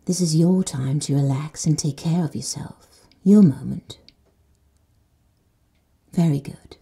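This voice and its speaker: Breathy, mellow woman guiding sleep. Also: sits low. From Netherlands. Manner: slow and soothing, dropping to soft whispers. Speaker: meditation guide. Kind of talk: guided sleep meditation.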